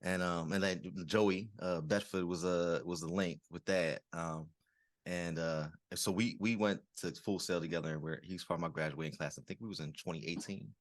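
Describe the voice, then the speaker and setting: A man's voice: sits low, fairly even intonation, moderate pace, no room sound, clean studio sound. A man hosting a podcast in casual interview chat, laid back and full of fillers as he hypes up his guests. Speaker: podcast host.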